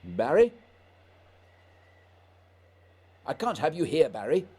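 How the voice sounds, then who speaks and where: A man's voice: fairly high pitch, sing-song, a little fast, mellow. A man acting in a radio play as a brooding, burdened leader of a polar expedition, grave and introspective. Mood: stern, alarmed. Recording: echoey reverberant room, faint noise.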